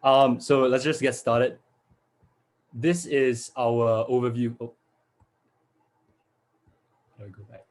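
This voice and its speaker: A man's voice: mid-range voice, fairly even intonation, takes it slowly, long pauses. Young man from Singapore presenting. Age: young adult. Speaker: student presenter. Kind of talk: webinar presentation. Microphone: video call.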